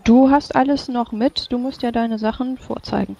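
A game master narrating and speaking in a formal, measured way as a stern official in a tabletop role-playing game. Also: mid-range voice, steady tone, vocal fry, highs cut off, a crowd in the background. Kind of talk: tabletop role-play narration and in-character dialogue.

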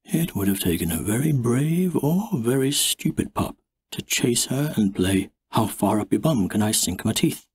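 A man narrating an audiobook in an expressive, dramatic storybook style. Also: mid-range voice, moderate pace, slightly rough, no echo.

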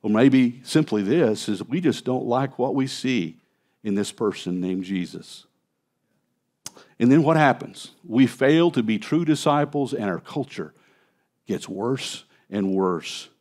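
A man's voice: mid-range voice, measured pace. A man in his fifties, a pastor preaching an expository sermon in a conversational way from a church stage, on a headset mic. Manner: conversational preaching, animated gestures at a lectern. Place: church stage, large indoor hall.

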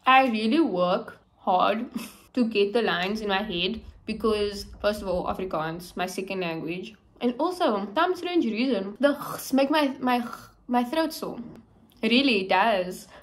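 A woman's voice: medium pitch, lively intonation, unhurried. A young woman, an actress talking casually to the camera at home in an upbeat, filler-heavy way. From South Africa. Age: young adult. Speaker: actress vlogger. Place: small room indoors at home.

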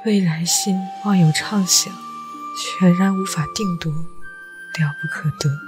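Woman playing slow, solemn, devout palace lady. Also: fairly low pitch, over music. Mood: serene, solemn. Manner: solemn, measured. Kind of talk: Chinese historical audio drama acting.

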